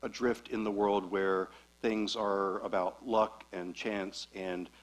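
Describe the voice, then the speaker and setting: A man speaking as a guest psychologist at a church talk, explaining concepts clearly and patiently. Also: fairly low pitch, little pitch movement, slow pace, low-quality recording.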